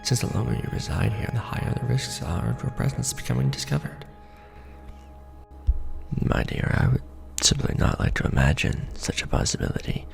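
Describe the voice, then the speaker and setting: A man playing a courtly, brooding vampire lord in an ASMR roleplay, speaking formally and deliberately in a hushed, breathy, gravelly voice. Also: medium pitch, huge pitch swings, full character voice. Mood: grave, determined. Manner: formal, hushed, deliberate, theatrical aristocratic address. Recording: roomy echo under background music. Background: music.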